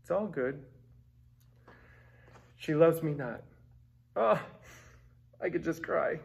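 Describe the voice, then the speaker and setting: A man's voice: a little high, lively intonation, slow, creaky, heavy background noise. A middle-aged man presenting a theatrical comic introduction in a hammy, playful way. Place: small furnished room indoors.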